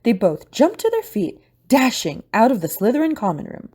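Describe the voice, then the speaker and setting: A woman with a husky voice reading scripted audio drama narration as the narrator and host. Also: a little high, huge pitch swings, moderate pace, dark tone.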